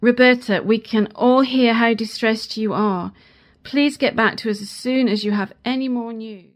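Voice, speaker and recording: Actress as higher-pitched formal female news anchor. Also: steady tone, lightly acted. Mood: earnest. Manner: formal, measured, earnest. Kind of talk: scripted radio news acting.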